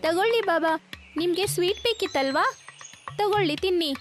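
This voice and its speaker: Very high-pitched earnest young girl. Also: moderate pace, soft background music. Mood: earnest, helpful. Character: sensible, cautious young big sister, obedient girl. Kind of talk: animated moral story acting.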